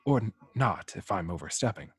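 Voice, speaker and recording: A man playing a gentle, troubled android in love, hesitant and earnest, in an amateur film table read. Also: on the low side, expressive, brisk, breathy edge. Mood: tender, hesitant. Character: gentle, lovestruck, troubled male android hero.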